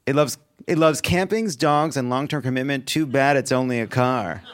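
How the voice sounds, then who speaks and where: A man presenting commercials on a live comedy stage, animated and laughing with quick asides. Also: medium pitch, no room sound, studio quality. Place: theater stage before an audience.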